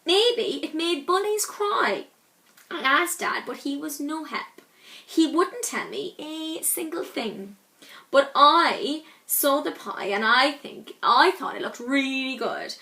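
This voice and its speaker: Lady reading a kids book out loud, super lively and bright, dramatic pauses. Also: high voice, vocal fry. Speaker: storyteller reading a children's book. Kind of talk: expressive read-aloud storytelling.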